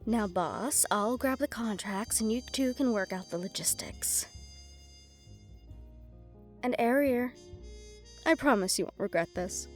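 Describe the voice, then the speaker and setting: A woman's voice: a little high, animated, unhurried, pauses often, soft background music. Woman playing a menacing, silky mafia underboss woman. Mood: satisfied, mock-polite. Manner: mock-polite, coolly threatening. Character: menacing, composed female mafia underboss, silkily condescending. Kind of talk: audio roleplay acting.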